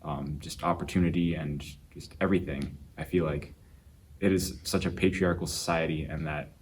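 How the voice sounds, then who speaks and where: A teenage male student speaking hesitantly, with many pauses and fillers. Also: rumbling low, fairly even intonation, a little hiss or hum. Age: teens. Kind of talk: opinion statement in a discussion.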